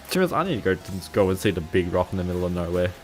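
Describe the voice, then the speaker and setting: A man's voice: low voice, sing-song, a little fast, faint music behind. A young man with an Australian accent, rambling casually to his livestream viewers with frequent chuckles and fillers. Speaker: streamer.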